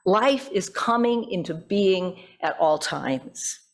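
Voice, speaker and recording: A middle-aged or older woman, a pastor, preaching reflectively in measured phrases with deliberate pauses. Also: on the low side, expressive. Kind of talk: sermon with poetry quotations.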